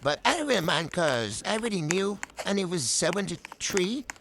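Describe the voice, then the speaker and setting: High-voiced, rambling gameplay commentator. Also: sing-song, normal speed, creaky, very noisy. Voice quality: high-pitched voice. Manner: rambling, full of "like" and "um" fillers. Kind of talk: casual voice-over commentary on gameplay.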